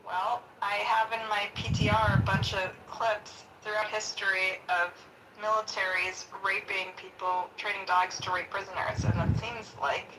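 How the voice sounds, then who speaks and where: A woman's voice: medium pitch, level tone, normal speed, far from the mic. Laid-back woman on a hissy line. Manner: laid-back, argues back, laughs easily. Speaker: chat guest. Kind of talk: casual chat.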